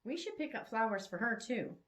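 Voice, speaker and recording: Woman reader as sassy young romance heroine, direct, playful questioning, animated swoops in pitch. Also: fairly quick. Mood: curious.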